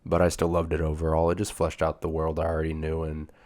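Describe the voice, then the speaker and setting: A man's voice: rumbling low, completely flat delivery, fast talker, a little mellow. A young man chatting casually through a book review, his voice a bit off as he recovers from being sick. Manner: chatty, informal slang, frequent fillers. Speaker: booktube reviewer.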